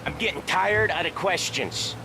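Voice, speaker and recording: An actor playing a menacing, quick-tempered American gangster in a video game, terse and bossy in his orders. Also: high voice, noisy background. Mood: stern. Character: commanding middle-ranking mobster, menacing, curt and quick-tempered. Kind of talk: cinematic game voice acting.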